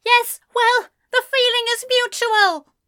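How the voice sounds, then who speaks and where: A woman voicing a tense, sharp-tongued young man who snaps back in clipped retorts. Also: extremely high pitch, animated, moderate pace, pitched above the performer's own voice. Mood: cold.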